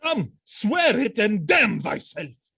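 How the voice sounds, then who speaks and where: A man playing a jealous, enraged noble commander and husband in a Shakespearean audio drama, theatrical and volatile, with a rough husky voice. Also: sits high, wildly swooping pitch, slow, heavily acted. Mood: accusing fury. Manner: theatrical, volatile, swinging from hushed to shouting.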